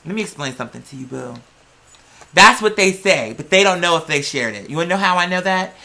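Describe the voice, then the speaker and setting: An online creator with a bright, forceful, mid-pitched voice ranting casually to viewers. The delivery is sassy and emphatic, full of slang and swearing. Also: lively intonation, fairly quick, gravelly. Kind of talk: casual opinionated rant to viewers.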